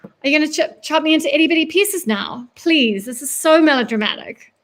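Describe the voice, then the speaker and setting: A woman's voice: on the high side, fairly even intonation, brisk, pushed and bright. A scornful art snob, played by a woman reading a story. She drawls with pompous, bored sarcasm in a raised voice, as in a dramatised literary reading.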